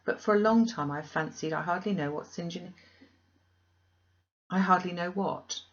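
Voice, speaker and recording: Woman acting an emphatic, concerned young gentlewoman, muffled. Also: on the low side, moderate pace, natural voice. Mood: concerned, probing. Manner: emphatic, questioning. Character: concerned, outspoken, affectionate sister, a young gentlewoman.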